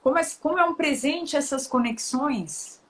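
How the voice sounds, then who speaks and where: A woman chatting casually among friends, in a lively way with laughing asides. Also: high-pitched, normal speed. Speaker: live stream guest. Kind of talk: casual chat.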